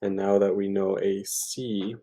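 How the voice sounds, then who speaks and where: A man's voice: sits low, level tone, unhurried, warm tone. A man tutoring math, talking through a problem step by step in an informal way, thinking aloud with hesitations and self-corrections.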